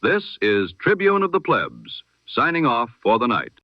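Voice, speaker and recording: A man reading the news in the formal, measured manner of an old-time radio announcer. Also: medium pitch, huge pitch swings, no room sound. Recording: lo-fi, dull radio-style sound.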